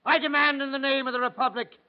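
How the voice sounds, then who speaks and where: An actor playing a zealous revolutionary prosecutor who declaims formally, in old radio drama style. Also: male voice, extremely high pitch, flat delivery, quick pace. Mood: denouncing. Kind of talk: 1940s radio drama acting. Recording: muffled, noisy old radio recording.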